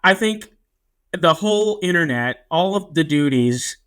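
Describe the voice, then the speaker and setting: A man's voice: fairly high pitch, lively intonation, unhurried, fairly bright tone, smooth. A man co-hosting a podcast in casual chat, teasing with wry humor. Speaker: podcast co-host.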